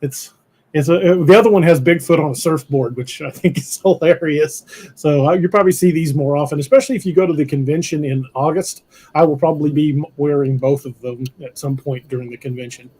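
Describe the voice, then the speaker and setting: A middle-aged man hosting a live podcast, rambling and laughing, his voice a bit hoarse from shouting. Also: on the high side, fairly quick. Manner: rambling, self-interrupting, laughs often. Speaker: podcast host. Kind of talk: casual livestream chat. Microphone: headphones with mic on a video call.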